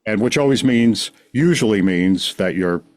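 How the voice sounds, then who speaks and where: A man's voice: on the low side, lively intonation, moderate pace. A man, a podcast guest telling his story in rambling, self-interrupting casual talk. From New York City, the Bronx. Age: 60s. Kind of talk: casual conversation.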